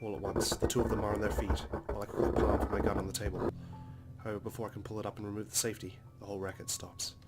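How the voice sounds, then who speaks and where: A man doing a Let's Play, dramatically reading visual novel narration in a serious, measured storytelling manner. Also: on the low side, level tone. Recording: loud music behind the voice. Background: game soundtrack music.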